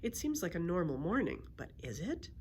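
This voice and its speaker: A woman reading a children's story aloud in an expressive storytelling style. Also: fairly low pitch, brisk, a little hiss or hum. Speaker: library story reader.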